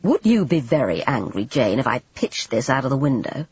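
A reader takes on a blunt, irritable painter who lectures and scolds in short, sharp lines. The voice is husky and lively in pitch, and the recording dull and dry. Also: male voice, moderate pace, no room sound. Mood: scornful. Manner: curt, scolding.

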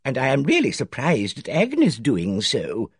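A man's voice: medium pitch, wildly swooping pitch, a little slow, breathy edge, no echo, clean studio sound, exaggerated voice. A man reading aloud, voicing a timid, nervous elderly spinster lady who speaks tremulously and hesitantly.

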